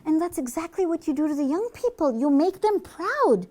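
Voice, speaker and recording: A woman's voice: sits high, very animated, normal speed, mellow. A woman voicing an earnest, astonished pupil who scolds the devil in a children's puppet show. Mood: accusing.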